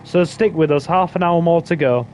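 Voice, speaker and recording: A man's voice: fairly high pitch, moderate pace, darkish tone. A man chats his way through a game stream in a slightly raised voice. His talk rambles with fillers and restarts. Game noise hums faintly behind him. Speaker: streamer host. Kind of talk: casual gaming stream chat.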